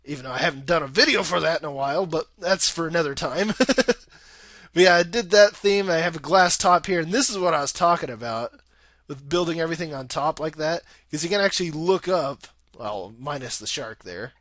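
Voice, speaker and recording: A man's voice: fairly high pitch, fairly quick, fairly bright tone, no room sound. A young man giving casual, rambling gameplay commentary in an informal way, swearing freely. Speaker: video game let's play host.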